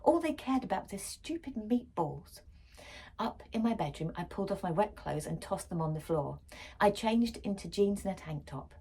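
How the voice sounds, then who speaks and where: A woman's voice: mid-range voice, lively intonation, normal speed, warm tone, breathy edge. A woman reading a children's story aloud expressively, as for a school library.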